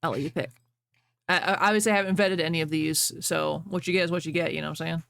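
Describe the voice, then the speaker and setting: A woman's voice: low-pitched, fairly even intonation, fast, gravelly, fairly bright tone. A woman livestreamer chatting casually with viewers and reading fan fiction aloud.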